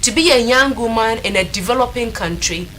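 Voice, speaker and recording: A woman with a Ghanaian accent speaks on a discussion panel, reflective and motivational. Her pitch is fairly high, and faint noise sits under the studio recording. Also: a little fast, a bit bright. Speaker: talk show panelist.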